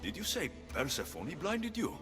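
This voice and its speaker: Bold adventurer, dry and assertive, very varied intonation, video game drama, music behind. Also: male voice, on the high side, huge pitch swings, moderate pace. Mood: firm. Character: bold, blunt adventurer, adult man. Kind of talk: video game cutscene acting.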